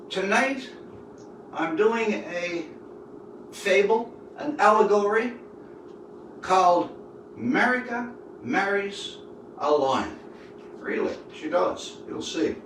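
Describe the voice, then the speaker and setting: A man's voice: high voice, lively intonation, slow, long pauses, a bit bright. A man of about seventy from Newfoundland, a writer talking and telling stories to viewers on an informal livestream. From Newfoundland, Canada. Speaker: writer reading his work to viewers. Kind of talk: informal livestream talk and storytelling. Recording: distant mic, roomy echo, audible noise.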